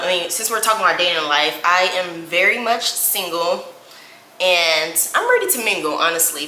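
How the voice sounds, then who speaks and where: A woman's voice: lowish voice, sharp tone, echoey room, a little hiss or hum, poor audio. A woman in her twenties from Indianapolis, chatting casually while doing her makeup, with lots of "y'all" and "like". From Indianapolis, USA. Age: 20s. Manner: chatty, says "y'all" and "like" often. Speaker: beauty vlogger.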